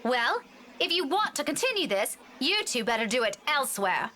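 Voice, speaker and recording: A bright, brisk scolding coworker. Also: female voice, high voice, sing-song, slight background noise, exaggerated voice. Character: bossy young woman coworker breaking up an argument. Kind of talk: video game voice acting.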